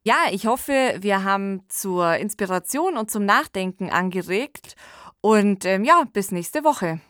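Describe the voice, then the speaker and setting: A woman's voice: medium pitch, expressive, measured pace, a bit bright, no room sound, studio quality. A woman hosting a podcast discussion in a thoughtful, colloquial conversational way. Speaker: podcast host.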